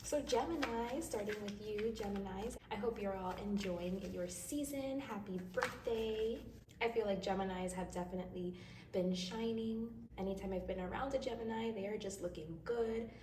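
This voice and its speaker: A woman's voice: medium pitch, normal speed. A woman hosting a tarot reading in a casual, chatty, reassuring way, with a slightly stuffy nose from crying. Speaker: tarot reader and host. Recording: distant mic, roomy echo, faint noise.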